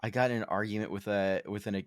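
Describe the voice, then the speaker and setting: A man's voice: on the low side, fairly even intonation, fast, dry recording, studio quality. Rambling self-mocking male co-host. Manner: rambling, self-deprecating, many ums and restarts. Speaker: podcast co-host. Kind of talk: casual podcast chat.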